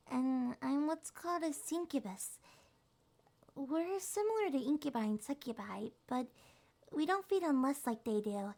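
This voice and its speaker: A woman voicing a small, shy, gentle monster girl in an ASMR roleplay, speaking softly, hesitantly and reassuringly. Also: high-pitched. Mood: gentle, explaining. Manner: hesitant, soft-spoken, reassuring.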